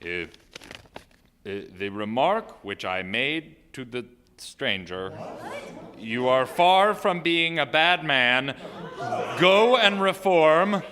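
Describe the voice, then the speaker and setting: A man's voice: fairly high pitch, very animated, exaggerated voice. A man playing a flustered, stammering chairman of a small-town meeting in a stage radio drama recorded before a live audience. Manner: stammering, halting, dithering then commanding. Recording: live theatre recording, loud audience behind.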